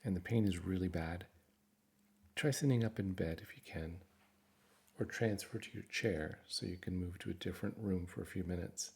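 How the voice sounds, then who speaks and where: A man narrating a guided meditation in a gentle, reassuring, instructive manner. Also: sits low, moderate pace, gravelly.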